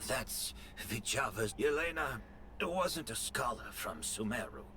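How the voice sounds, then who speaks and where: A man's voice: medium pitch, expressive, pushed and bright, a little hiss or hum. A man voicing a grave, weary, knowing master in a dramatic video game. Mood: solemn explanation. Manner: measured, solemn.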